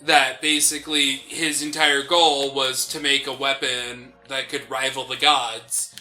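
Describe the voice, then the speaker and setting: A man's voice: mid-range voice, level tone, unhurried, bright, forceful tone. A young man co-hosting a gaming show, chatting casually in a rambling, slangy way as he plays. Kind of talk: casual chat during video game commentary. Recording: game music behind the voice.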